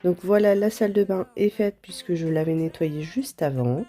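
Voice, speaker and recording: A woman with a stuffy nose from a cold, chatting gently to viewers as she tidies her home, with soft music behind. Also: fairly low pitch, normal speed. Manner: gentle, chatty, apologetic asides with light laughs. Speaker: home vlogger. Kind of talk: casual vlog narration.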